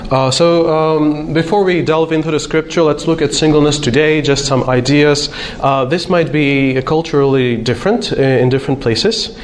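A man's voice: fairly high pitch, moderate pace, few pauses, slight background noise. Man giving a talk. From Slovakia. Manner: hesitant, many uh fillers, self-deprecating humor. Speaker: conference speaker. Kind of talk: conference talk.

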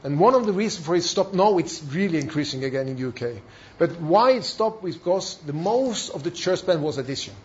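High-pitched Norwegian man giving a seminar. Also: lively intonation, a little hiss or hum. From Norway. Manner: halting non-native English with emphatic repetition. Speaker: church planting trainer. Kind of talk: seminar talk. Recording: dull, lo-fi sound.

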